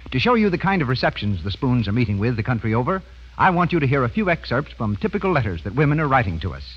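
A male American radio announcer from the 1930s with a husky edge and lively, swooping pitch. He sells formally and emphatically, every word carefully placed. The recording is muffled, like an old broadcast. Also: fast.

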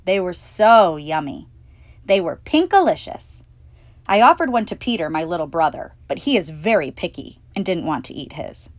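A woman hosting storytime, reading a children's picture book aloud with expressive, clearly phrased delivery. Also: medium pitch, no room sound. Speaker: storytime reader and host.

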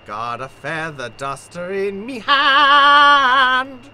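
Woman doing a slow, bright old Cockney maid. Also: fairly high pitch, clear, resonant tone, faint music behind, heavily acted. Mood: sing-song, grumbling. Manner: sing-song muttering while working. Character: old weary Cockney maid, crazy, singing, grumbling. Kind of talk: improvised old-time radio play acting. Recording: faint background noise.

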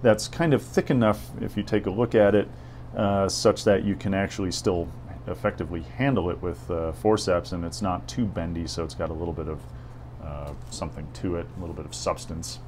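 A man hosting a hobby workbench video, narrating in a relaxed, thinking-aloud way with frequent "uh" fillers. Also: low-pitched, brisk, slight background noise. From United States. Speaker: model railroad hobby host. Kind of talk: relaxed tutorial narration over workbench footage. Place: home workbench.

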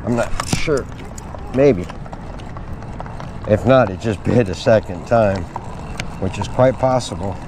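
A middle-aged man casually narrating his fishing in a low-key way, as if thinking aloud. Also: slow, long pauses. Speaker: angler narrating own fishing outing. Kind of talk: casual vlog commentary. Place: open fishing pier outdoors. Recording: outdoor camera with loud ambient noise.

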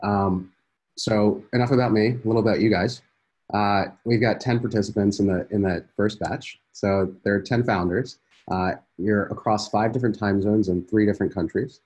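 A man's voice: fairly low pitch, steady tone, normal speed, a little mellow, dull audio. A man in his thirties hosting a founders' video call, talking casually with many fillers. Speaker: program host. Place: indoor room. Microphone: laptop video call.

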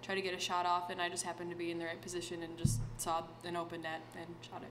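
A woman's voice: lowish voice, completely flat delivery, quick pace, background noise. A young woman college player giving modest, filler-laden answers at a post-game press conference. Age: young adult. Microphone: table microphone.

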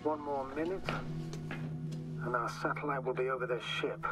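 A man playing a calm, skeptical astrogator who guides a mission in a video game, measured and matter-of-fact. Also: mid-range voice, loud music behind the voice, close to an everyday voice. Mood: composed. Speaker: astrogator guiding by radio. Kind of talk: naturalistic video game acting.

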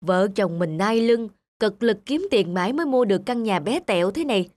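A man's voice: high-pitched, animated, moderate pace, a little mellow, dry recording. A man voicing a discontented young husband in a cartoon, envious, grumbling and easily irritated. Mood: discouraged, envious. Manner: grumbling, complaining. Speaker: voice actor. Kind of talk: cartoon dialogue dub.

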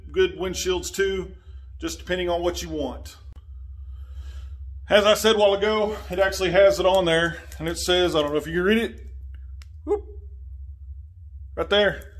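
A man's voice: sits high, a bit bright. Plain-spoken man talking in his garage. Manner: plain-spoken, talks while working with his hands, drifts from the mic. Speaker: motorcycle vlogger. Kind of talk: casual how-to narration while working. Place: garage with a motorcycle, indoors. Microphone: phone camera.

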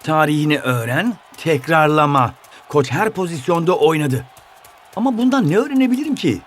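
A man voicing an eager, earnest young football player in an animated cartoon dub. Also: fairly high pitch, a little fast, slight background noise, natural voice. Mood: pondering. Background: music.

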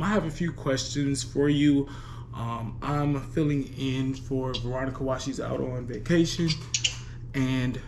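Male prank caller, mid-pitched, polite bureaucratic delivery, halting pauses, faint background noise. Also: darkish tone. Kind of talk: prank phone call posing as a parole officer.

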